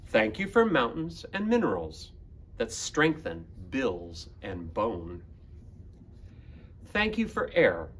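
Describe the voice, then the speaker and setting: A man in his fifties reading a picture book aloud to children in a deliberate manner. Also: mid-range voice, slight background noise. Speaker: school librarian, guest reader. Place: school library room, indoors.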